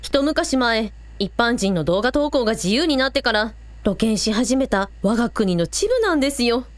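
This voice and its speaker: Woman as a frank big sister, high-pitched. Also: very animated, normal speed, no echo. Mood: concerned. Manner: blunt, casual, formal when addressing royalty. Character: frank, confident older sister of young heroine. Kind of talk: radio drama acting.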